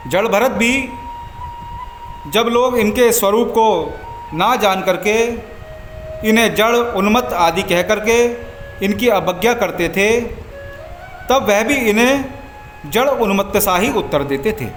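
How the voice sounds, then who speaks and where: A man narrating a scripted religious story as a devotional storyteller, in measured, formal phrases with frequent pauses. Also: sits high, fairly bright tone. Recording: echoey voice over background music. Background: background music.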